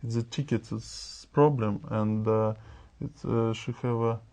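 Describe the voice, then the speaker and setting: A man from Russia with a Russian accent, a candidate in a speaking exam, talking in halting, broken phrases. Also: medium pitch, steady tone, a little mellow.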